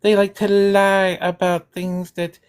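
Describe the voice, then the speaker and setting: A woman's voice: mid-range voice, little pitch movement, background noise. Sarcastic woman lecturing in a voice chat. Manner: sarcastic, lecturing, deliberately slow at times. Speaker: debater in an online voice chat. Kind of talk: heated political argument.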